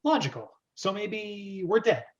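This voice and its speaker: A man reading the part of an excitable young plumber brother from a movie script, in lo-fi audio. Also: high-pitched, measured pace, pitched above the performer's own voice. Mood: puzzled. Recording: dull lo-fi audio.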